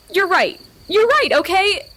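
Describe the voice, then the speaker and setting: A woman playing a guilt-ridden young woman in an audio drama, speaking in an emotional, halting, confessional way. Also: very high-pitched, sing-song, fairly bright tone, heavily acted. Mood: guilty, weary. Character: Mags, guilt-ridden, anguished young woman, former best friend. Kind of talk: naturalistic audio drama acting.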